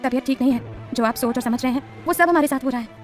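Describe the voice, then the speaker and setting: A woman's voice: high-pitched, fast, faint music behind, natural voice. Woman doing a shy timid young wife. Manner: soft, hesitant, pleading.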